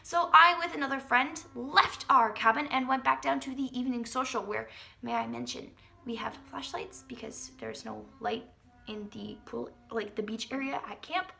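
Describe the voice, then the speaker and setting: A young woman tells a personal story as a vlogger. Her voice sits high and has a bright, forward edge. The delivery is theatrical and emphatic, built for comedic storytelling. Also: normal speed, gravelly, soft background music. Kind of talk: dramatic comedic storytelling to camera.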